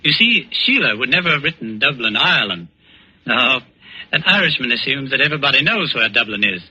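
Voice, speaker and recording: An actor playing a composed British intelligence officer, calm and dryly ironic, in a 1940s radio suspense drama. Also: male voice, mid-range voice, fairly quick, husky, heavily acted. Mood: wry, explaining. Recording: muffled old radio, faint music.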